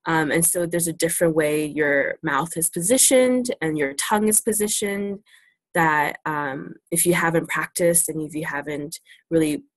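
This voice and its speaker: Young woman, low voice, casual teacher talk, webcam, dry sound. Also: fairly even intonation, normal speed, no echo. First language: English. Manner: easygoing, many ums and likes. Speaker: student presenter teaching about China. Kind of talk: casual virtual classroom Q&A. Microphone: laptop webcam video call.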